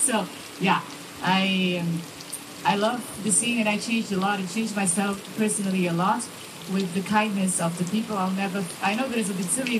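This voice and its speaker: A woman's voice: medium pitch, background noise. A woman on a live festival panel, speaking hesitantly and searching for words, with many fillers. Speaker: festival booking team member. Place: festival tent in the rain.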